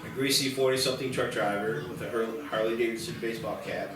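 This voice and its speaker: In a very echoey room with music behind, a man narrates screenplay stage directions. His voice is mid-pitched and sits back from the mic. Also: fairly even intonation, fast talker, far from the mic. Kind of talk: scripted narration at a table read.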